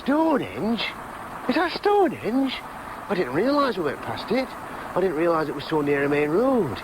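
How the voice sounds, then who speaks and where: A keen Northern English man in a radio drama. He is insistent and excitable, his pitch moving up and down a lot. The recording has clear noise behind him. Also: normal speed. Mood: excited. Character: eager, dogged Northern man searching for his father. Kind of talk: naturalistic radio drama acting.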